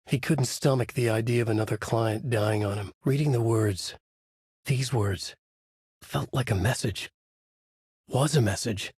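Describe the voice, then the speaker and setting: An actor playing a brooding, haunted male writer, narrating a noir horror thriller in a measured, grave, dramatic way with a husky voice. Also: medium pitch, a little mellow, dry recording, studio quality. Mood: brooding narration.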